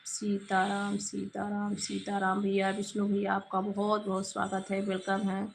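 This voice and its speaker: A woman hosts a casual live stream, her voice hoarse and gravelly, her pitch held almost level. She greets one viewer after another by name in an easy, chatty way. Faint background noise sits under the voice. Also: monotone, normal speed. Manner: reads out viewer names and greets them warmly. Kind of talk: casual live chat reading comments.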